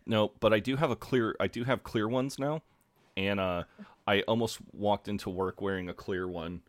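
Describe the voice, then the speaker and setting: A man's voice: on the low side, steady tone, normal speed, no echo. A man co-hosting a podcast in casual chat, swearing freely and laughing at himself, congested. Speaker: podcast co-host.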